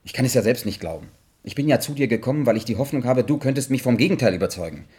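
An actor playing a troubled, earnest investigator in a German science fiction radio drama, with a husky voice. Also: male voice, medium pitch, expressive, rapid-fire, a little mellow. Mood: earnest, intense. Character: troubled, restless male investigator, earnest and insistent.

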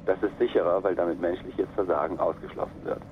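Deep male explainer, tinny phone-like sound. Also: fairly even intonation, fast talker. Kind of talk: documentary explanation. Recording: tinny phone-like band, faint noise.

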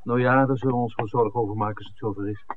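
A man's voice: mid-range voice, fairly even intonation, very fast, natural voice. An actor playing a questioning, discreet film man in a 1960s radio play, with an understated way of talking. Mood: sceptical. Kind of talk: 1960s radio drama acting. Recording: muffled old radio broadcast, faint hiss.

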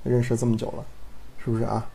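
A man speaking off the cuff in casual chat, halting, offhand and often repeating himself. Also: mid-range voice, completely flat delivery, creaky, mellow, breathy edge. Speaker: audio drama director.

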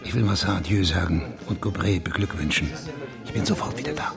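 An actor playing a calm, discreet senior police official in a radio crime drama, speaking in a controlled way. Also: male voice, low-pitched, fairly quick, gravelly, music in the background. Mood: composed. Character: composed, discreet senior police official managing a secret affair.

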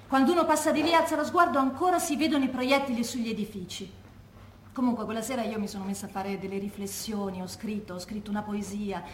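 An actress plays a former partisan woman looking back in a stage monologue. Her voice is fairly high, introspective and conversational, troubled as she remembers. The echoey room recording has faint noise behind it. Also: fairly bright tone, natural voice. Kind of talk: theatrical monologue as oral testimony.

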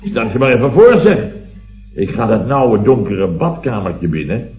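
Blustering, exclamatory builder boss. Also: male voice, mid-range voice, sing-song, slow, soft background music. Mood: indignant. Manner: exclamatory, rambling, indignant storyteller with tag words. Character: blustering middle-aged builder boss telling weekend mishaps. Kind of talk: late-1960s Dutch radio comedy serial acting. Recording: muffled old radio recording.

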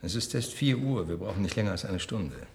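An actor playing a surgeon in a German radio drama, giving measured instructions in short phrases. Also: male voice, sits low, animated, gravelly, a little hiss or hum. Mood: calm, concentrated. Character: surgeon quietly directing an operation.

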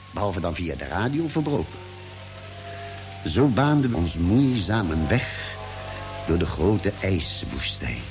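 Expressive expedition narrator, old radio drama. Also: male voice, mid-range voice. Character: male space expedition member recounting a Mars journey.

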